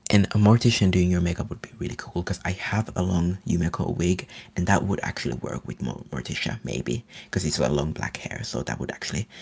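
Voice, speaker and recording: A man from Finland, a native Finnish speaker, rambling eagerly in a soft whisper as an ASMR live streamer. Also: sits low, gravelly, slightly rough.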